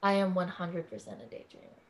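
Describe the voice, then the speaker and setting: A woman's voice: on the low side, lively intonation, brisk. A woman from California, a podcast guest talking in an effusive, earnest way in a casual interview chat.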